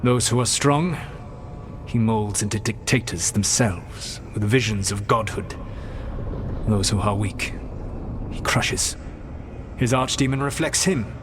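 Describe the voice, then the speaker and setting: An actor playing an ancient elven mage in a fantasy game, speaking in a measured, formal, solemn and regretful manner. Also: male voice, lowish voice, background noise. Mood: grave, scornful. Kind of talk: scripted fantasy game dialogue.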